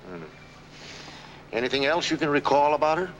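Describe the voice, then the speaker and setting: An actor playing a skeptical, probing investigator in dry, questioning 1940s film noir style. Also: male voice, a little high, normal speed, fairly bright tone, lo-fi sound, natural voice. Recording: old film soundtrack, faint noise.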